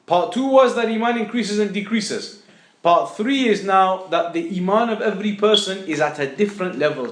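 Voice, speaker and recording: High-pitched male religious teacher, echoey room. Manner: didactic, repeats phrases for emphasis. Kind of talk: explanatory lesson, spoken off the cuff.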